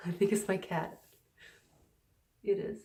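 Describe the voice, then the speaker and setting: A woman with a low voice talks loosely to camera from her stairs at home, full of ums and restarts and at times hushed. The sound is roomy and echoey, with the mic set back from her. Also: fairly even intonation, slow pace. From Canada. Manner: rambling, many fillers and restarts, partly hushed. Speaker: recovery vlogger. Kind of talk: casual unscripted talk to camera. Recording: echoey, mic some distance away.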